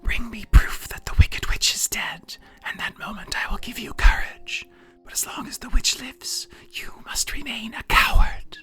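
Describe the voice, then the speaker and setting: A man voicing a menacing hidden male wizard in a slow, eerie whisper for a storybook reading. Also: very high-pitched, steady tone, pushed and bright, breathy edge, faint music behind, voice pushed up, heavily acted. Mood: stern.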